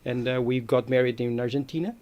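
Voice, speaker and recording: A middle-aged man telling his story as an interview guest, in halting English. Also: mid-range voice, steady tone, muffled recording. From Brazil. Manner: halting, non-native phrasing. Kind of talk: conversational personal storytelling.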